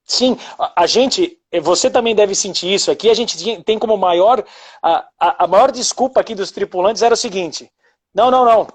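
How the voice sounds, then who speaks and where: Man, host and pilot, husky voice, reflective with frequent hesitations, video call. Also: sits high, brisk. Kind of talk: casual live video chat.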